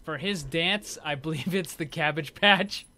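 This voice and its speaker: A man's voice: a little high, measured pace, pushed and bright. A man giving casual, off-the-cuff commentary as host of a gaming showcase video.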